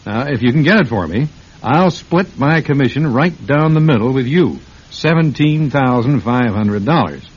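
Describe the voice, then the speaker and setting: A man's voice: mid-range voice, expressive, normal speed, breathy edge. An actor playing a polite, persuasive businessman with a shady offer, courteous and a little hesitant, in an old-time radio drama. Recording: muffled old radio recording.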